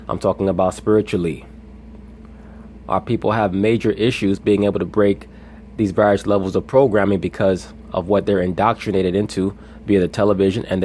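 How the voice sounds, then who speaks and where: A man with a very low voice delivers a commentary monologue in a deliberate, lecturing manner. His cadence rises and falls like a preacher's, and he lays out each point slowly and firmly. A faint hiss sits under the recording. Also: a little mellow. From United States. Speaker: video commentator. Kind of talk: monologue commentary on played clips.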